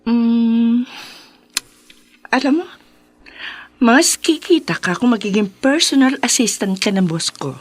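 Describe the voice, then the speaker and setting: An actress playing a persuasive, obliging recruiter loyal to her boss in a radio drama, coaxing smoothly and mixing in English. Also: fairly high pitch, takes it slowly, long pauses, no room sound. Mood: coaxing, casual.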